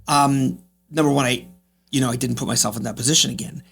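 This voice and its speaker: A man in his fifties talks as a guest in a reflective interview. His voice sits at a medium pitch with a gravelly creak. He drives points home by repeating phrases. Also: steady tone, fast, a bit bright. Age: 50s. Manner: emphatic, repeats phrases for effect. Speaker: podcast guest, real estate agent.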